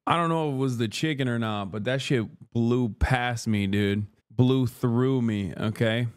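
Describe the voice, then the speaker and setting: A man's voice: mid-range voice, a little slow, smooth. A man in his thirties, from Turkey, chatting casually and profanely on a livestream while eating, close to a studio microphone in a small room. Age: 30s. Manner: brash, profane, joking, talks with food in mouth. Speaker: streamer. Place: small wood-paneled room, indoors. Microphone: studio mic on boom arm close to face.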